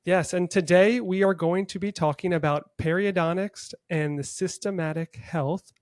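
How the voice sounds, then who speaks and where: A man's voice: sits high, fairly even intonation, normal speed, a little mellow, no echo, clean studio sound. A man hosting a casual interview podcast in an upbeat, enthusiastic manner. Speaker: podcast host; orthodontist.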